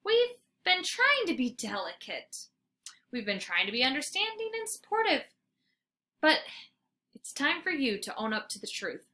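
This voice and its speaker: A woman voicing a gentle, concerned female dog in a storybook, speaking with careful sympathy. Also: high voice, sing-song, normal speed, pitched above the performer's own voice, full character voice. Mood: hesitant, frank.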